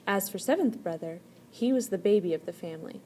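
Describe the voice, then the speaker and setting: A woman reading a children's story aloud as an expressive storyteller. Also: medium pitch, fairly quick. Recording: clear background noise.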